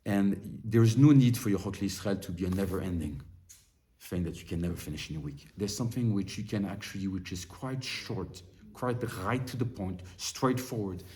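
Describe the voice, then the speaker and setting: Man teaching a halting, animated lesson. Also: fairly low pitch, a little hiss or hum. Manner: halting, restarts and repeats words while explaining; grows more animated when working through a text. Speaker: Torah teacher. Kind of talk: informal study-group lesson.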